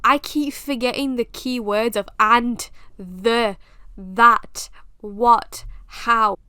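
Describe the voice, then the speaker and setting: A woman's voice: fairly high pitch, fairly even intonation, extremely slow, pushed and bright, smooth, dry recording, clean studio sound. A young British woman with a British accent, hosting a podcast and talking candidly, with self-mocking humor, in a casual monologue. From England. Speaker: podcast host.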